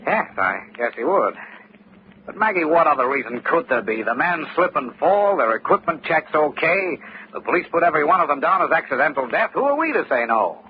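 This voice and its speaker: An actor playing a brisk, dogged insurance investigator in an old-time radio drama, speaking assertively. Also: male voice, a little high. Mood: puzzled. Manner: assertive, animated. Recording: muffled, noisy old radio recording.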